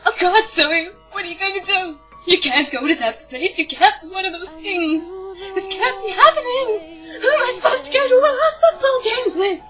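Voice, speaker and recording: Woman doing a weepy panicked teen girl from a book, super high, bright, melodramatic wailing. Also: lively intonation, normal speed, soft background music, full character voice, rough recording. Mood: hysterical, sobbing. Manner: wailing, overwrought. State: mock crying. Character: panicky, melodramatic teenage girl, sobbing best friend.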